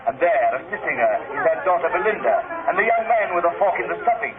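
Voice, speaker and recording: An actor playing a grave, knowing Christmas spirit in a vintage radio drama. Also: male voice, sits high, big pitch swings, quick pace. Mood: solemn. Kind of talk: 1930s radio play acting. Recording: noisy tinny vintage radio broadcast.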